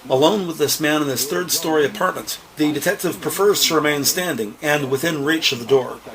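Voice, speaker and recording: A man's voice: fairly high pitch, fairly quick, crowd noise, poor audio. A man narrating a scripted police drama in a precise, descriptive manner. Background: music.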